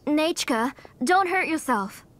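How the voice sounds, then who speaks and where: Earnest, anxious mother, higher-pitched. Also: lively intonation, takes it slowly, slight background noise. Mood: worried scolding. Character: stern, anxious, devoted mother. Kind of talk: video game voice acting. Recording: music behind the voice.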